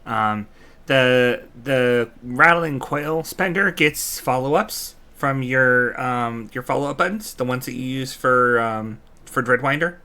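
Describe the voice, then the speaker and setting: A man's voice: mid-range voice, slow, pushed and bright. A man giving casual live commentary as a game streamer, reacting aloud and explaining game mechanics. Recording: game music behind the voice. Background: video game music.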